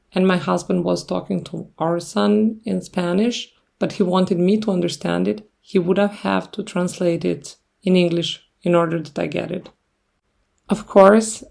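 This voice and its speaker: Host, female, 30s, Serbian native: dark, heavy vocal fry, measured and reflective. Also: medium pitch. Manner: thoughtful, unhurried, speaking English as a second language. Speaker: podcast host. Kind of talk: casual solo podcast talk.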